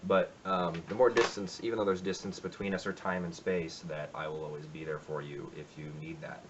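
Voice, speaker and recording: A man's voice: deep voice, flat delivery, a little fast, noisy background. A man talking casually and reflectively in his home music room about a song he covered, with lots of fillers. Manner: rambling, reflective, many fillers. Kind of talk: casual spoken intro to a cover.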